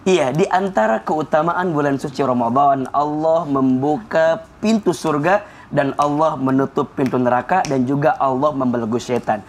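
A man in his thirties preaching in an energetic, emphatic way on a television studio set, speaking into a lapel mic. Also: a little high, normal speed, a little hiss or hum. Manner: energetic, emphatic, enumerating points. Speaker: Islamic preacher on a TV show. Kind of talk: television sermon.

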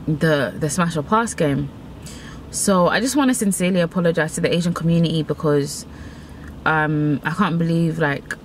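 A young adult woman talks candidly to camera in a slangy, rambling way, repeating phrases for emphasis. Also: low voice. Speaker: vlogger. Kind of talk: candid casual talk to camera. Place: inside a car, in the driver's seat. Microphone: camera mounted near the dashboard. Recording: loud car and outdoor noise.

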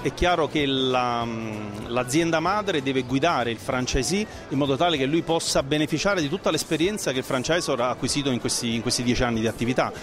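A man's voice: mid-range voice, a little fast, few pauses. A middle-aged man explaining a business as an interview guest, speaking into a handheld microphone. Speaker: franchise consultant, interview guest. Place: trade fair stand indoors. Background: background music.

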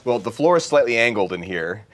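A man with a lively, swooping voice narrates what his character does at a gaming table. He rambles and restarts his phrases as he works it out. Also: medium pitch, brisk. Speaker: player. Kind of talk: describing his character's actions.

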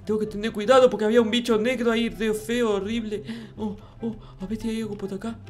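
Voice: mid-range voice, little pitch movement, quick pace, soft background music, lightly acted. A performer voicing a naive, easily frightened childlike boy in a Minecraft roleplay, whiny and stammering as words repeat. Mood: nervous, hushed. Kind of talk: improvised in-character gaming roleplay.

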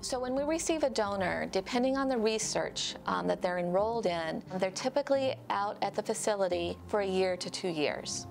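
A middle-aged woman speaks into a lapel mic in a book-lined office, explaining her work at an even pace. Her voice carries heavy vocal fry, and a music bed runs underneath. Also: mid-range voice, moderate pace, few pauses. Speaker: forensic center staff. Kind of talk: interview answers. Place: office with bookshelves.

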